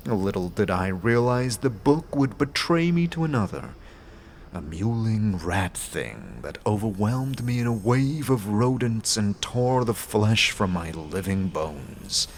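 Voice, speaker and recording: Some guy as a talking skull of a dead wizard assassin, braggy and wordy, lowish voice, lively swings, audio drama with faint noise. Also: measured pace. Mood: rueful, grandiose. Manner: grandiose, wordy, jocular. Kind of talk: fantasy audio drama acting.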